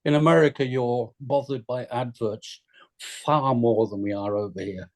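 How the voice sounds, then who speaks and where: A man on a script reading panel, talking in an emphatic, measured way. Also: mid-range voice, fairly even intonation. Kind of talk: casual panel discussion.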